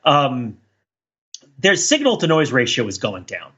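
A man co-hosting a podcast in casual, reflective discussion, thinking aloud in a halting, rambling way with repeated words. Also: medium pitch, wildly swooping pitch, sharp tone, breathy edge, highs cut off. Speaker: podcast co-host.

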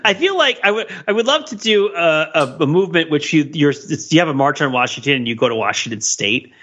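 Guy podcast co-host, kinda high voice, brainy rambling chat, bit dull audio. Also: animated, fairly quick, a bit bright. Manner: wordy, intellectual, frequently checks in with "right?". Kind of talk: casual discussion.

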